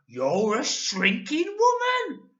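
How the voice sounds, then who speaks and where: A woman's voice: high-pitched, huge pitch swings, voice pushed up, heavily acted. A woman doing a gloating, nasty old husband. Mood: taunting. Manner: loud, slow, mocking taunts. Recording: echoey room, dull lo-fi.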